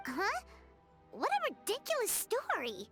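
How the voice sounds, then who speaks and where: A small childlike fairy companion from a video game. The voice is squeaky and very high, swinging widely in pitch, blunt and easily indignant, with faint music underneath. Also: female voice, wildly swooping pitch, unhurried, a bit bright, heavily acted. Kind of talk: video game character dialogue.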